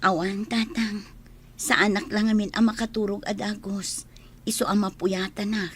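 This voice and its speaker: A woman's voice: mid-range voice, little pitch movement, normal speed, a little hiss or hum, lightly acted. An actress playing a worried, long-suffering wife and mother in an Ilocano radio drama, earnest and emotional, with sighs. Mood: weary, evasive.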